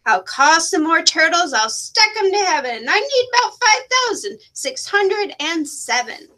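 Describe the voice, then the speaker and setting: A woman's voice: very high-pitched, big pitch swings, unhurried, bright, forceful tone, pitched above the performer's own voice, full character voice. A woman voicing a boastful, bossy turtle king in a children's storybook, exclaiming pompously and giving commands. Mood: indignant. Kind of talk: children's storybook read-aloud.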